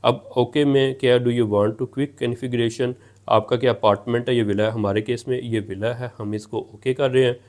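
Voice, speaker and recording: A man's voice: mid-range voice, steady tone, normal speed, a little mellow. A man narrating a hands-on technical tutorial, explaining and rephrasing each step as he goes. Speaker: tech tutorial presenter.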